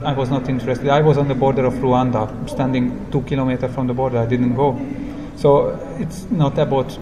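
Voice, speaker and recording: A man who speaks English as a non-native language, telling travel stories as a guest in a casual interview, in simple, halting, reflective phrases. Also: medium pitch, level tone. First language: not English. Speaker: interview guest, long-term hitchhiking traveller. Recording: clear background ambient noise.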